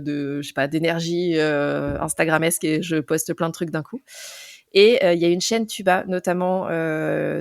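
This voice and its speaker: A woman's voice: lowish voice, vocal fry, no echo, clean studio sound. A woman in her forties, an entrepreneur and podcast guest, talking through her story with many hesitations and fillers. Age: 40s. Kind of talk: casual interview chat.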